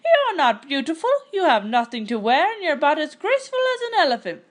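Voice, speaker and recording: Woman voicing a mocking girlfriend, storybook character, scornful, hooting, high-pitched. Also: huge pitch swings, a little fast. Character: hooting, mocking young women, scornful friends.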